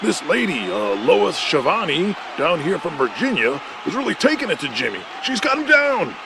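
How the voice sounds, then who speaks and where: High creaky-voiced wrestling announcer. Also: male voice, sing-song, moderate pace, noisy background, exaggerated voice. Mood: excited. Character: excitable 1980s male TV wrestling announcer, indignant at villains. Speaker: wrestling commentator. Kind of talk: 1980s wrestling play-by-play commentary.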